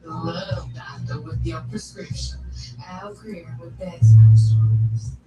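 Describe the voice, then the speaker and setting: A man reading a slow show intro announcement, recorded distant and echoey. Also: fairly high pitch, steady tone. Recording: distant, echoey, muffled, under loud music.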